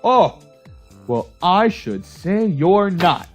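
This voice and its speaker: An actor playing an obsessive, wistful inventor who dotes on his creation in a retro radio drama, with a husky, imploring delivery. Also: high voice, very animated, drawn-out words, faint music behind, full character voice. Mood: obsessed, pleading.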